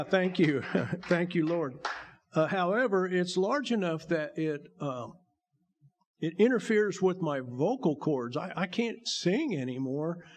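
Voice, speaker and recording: An older man with a gravelly voice, a bishop giving a folksy, joking testimony to his church. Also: fairly high pitch. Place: church sanctuary.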